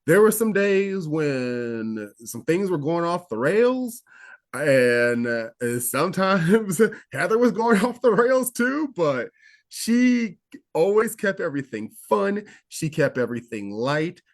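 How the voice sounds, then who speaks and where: A man's voice: fairly high pitch, sing-song, slow pace. A man, a guest actor, recalling a film shoot in an interview, enthusiastic and rambling with restarts. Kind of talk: interview recollection.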